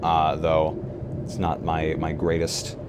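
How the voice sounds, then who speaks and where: Very deep-voiced man playing a tabletop game. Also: monotone, takes it slowly. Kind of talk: casual in-game chat. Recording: live stream with clear background noise.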